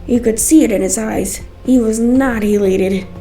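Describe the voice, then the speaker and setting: A woman's voice: on the high side, moderate pace, warm tone, far from the mic. A woman narrating a scripted first-person story as its storyteller. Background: music.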